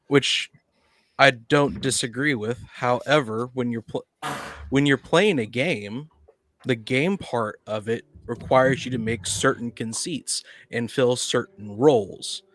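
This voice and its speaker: A man's voice: medium pitch, takes it slowly, fairly bright tone, no echo. A man on a podcast panel in casual debate, arguing insistently and cutting in to press his point. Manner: argumentative, insistent, interrupting.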